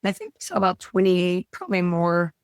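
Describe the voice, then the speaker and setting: A woman from the United States tells travel stories with eager excitement while fighting a cold that is wearing down her voice. She is recorded dry and close. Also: on the low side, brisk, clear, resonant tone, no room sound. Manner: excited storytelling. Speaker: guest. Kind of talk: casual chat.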